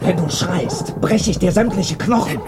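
A man's voice: high voice, animated, unhurried, heavy background noise. A man playing a huge, violent, threatening thug in an audio drama. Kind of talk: German audio drama acting.

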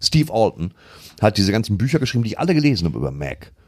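A man's voice: lowish voice, sing-song, quick pace, dry recording, studio quality. A man bantering casually about films as a podcast co-host, colloquial and emphatic, in a living room.

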